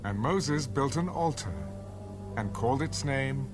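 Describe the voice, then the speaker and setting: A man acts as a solemn storyteller in an audio scripture drama. His mid-pitched voice moves up and down expressively while music plays behind him. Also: animated, measured pace, natural voice. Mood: solemn storytelling. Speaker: narrator. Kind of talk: dramatized audio Bible narration. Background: musical score.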